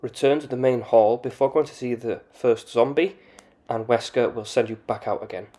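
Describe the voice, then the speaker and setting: A man's voice: medium pitch, fairly even intonation, normal speed, mellow. A man giving scripted, explanatory video game commentary in a matter-of-fact manner. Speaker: video game analyst narrator.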